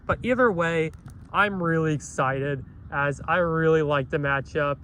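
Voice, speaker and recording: A man's voice: a little high, unhurried, a bit bright, slight background noise. Nasal man rambling with a cough. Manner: rambling, with many restarts and self-corrections. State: has a cough. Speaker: reviewer. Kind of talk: casual spoken review.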